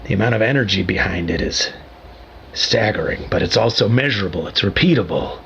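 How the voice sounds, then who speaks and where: An actor playing a rueful radio operator in an audio drama, confiding in a wry, halting way with a gravelly voice. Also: male voice, on the low side, lively intonation, fairly bright tone. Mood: wry, measured. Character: rueful lone male radio operator confessing a grave mistake. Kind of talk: found-footage audio drama monologue. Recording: dull lo-fi radio transmission with static.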